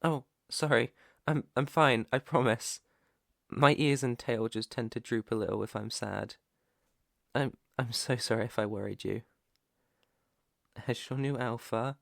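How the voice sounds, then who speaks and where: A British man playing an earnest, protective alpha werewolf in an ASMR roleplay, with a hesitant, stammering, soft-hearted way of talking and a creaky voice. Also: medium pitch, fairly even intonation, unhurried, no room sound, clean studio sound. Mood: apologetic, devoted. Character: protective young male alpha werewolf, earnest, shy outcast.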